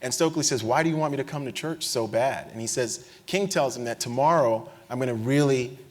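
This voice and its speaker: A man on a panel in a lecture hall, telling a story with lively energy, often adding "right?" and restarting his words. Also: mid-range voice, a little fast. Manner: animated storyteller, tag questions, frequent restarts. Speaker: panelist, historian.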